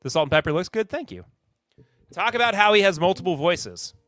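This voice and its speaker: A man from Kentucky chats easily on his live podcast, chuckling as he reads out and answers viewer comments. His voice sits a touch high for a man. Soft music plays faintly behind the dry, close recording. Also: big pitch swings, a little fast, no room sound. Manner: laid-back, chuckling, reads chat comments aloud. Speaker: podcast host. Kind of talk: casual live-stream chat.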